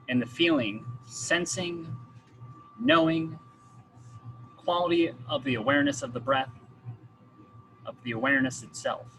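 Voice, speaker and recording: A man coaching a guided meditation in measured, instructional phrases with pauses. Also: on the high side, bright, forceful tone, slight background noise, low-quality recording.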